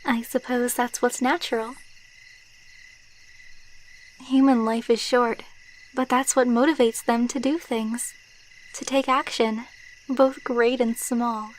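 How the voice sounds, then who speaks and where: ASMR roleplay: actress as female vampire lover, ageless and sentimental. Fairly high, gentle, intimate delivery, taken very slowly. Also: level tone, slight background noise, natural voice. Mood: calm, reflective. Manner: soft, intimate, unhurried, sentimental. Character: tender, wistful immortal female vampire girlfriend.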